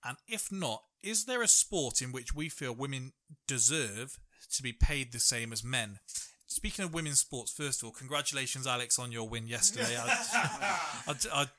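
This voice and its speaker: A man's voice: mid-range voice, animated, normal speed, bright, forceful tone. A man hosting a podcast, reading out odd news stories and telling jokey tales in casual chat. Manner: chatty, jokey storyteller. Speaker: podcast host.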